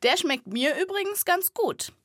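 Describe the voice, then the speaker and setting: A woman's voice: very high-pitched, lively intonation, unhurried, no echo, studio quality. A woman narrating a scripted wildlife story for children, with a vivid, playful storytelling manner. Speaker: host of a children's wildlife podcast.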